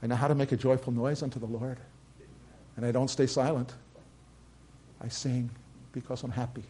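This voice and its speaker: An older man with a slight Norwegian accent, preaching a sermon. He builds to loud, emphatic peaks and then drops to quiet, measured lines. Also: mid-range voice, flat delivery, a little hiss or hum. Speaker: pastor.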